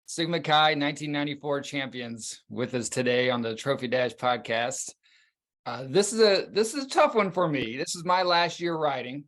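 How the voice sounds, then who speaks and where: A man hosting a podcast interview over a video call, speaking in a relaxed, rambling way with fillers and restarts. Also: on the high side, normal speed. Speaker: podcast host.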